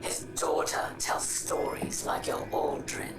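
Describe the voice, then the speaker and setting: An actress voicing a bitter, defiant female alien warlord in a game, in a breathy rasping whisper, cold and guarded. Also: close to an everyday voice. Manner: slow, hissed, cold. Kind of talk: scripted game drama. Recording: echoey game scene.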